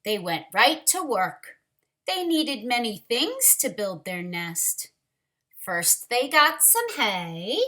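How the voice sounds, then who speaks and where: A woman teacher leading a read-aloud storytime for young children, speaking deliberately and directly to them. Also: fairly high pitch, very animated, pushed and bright.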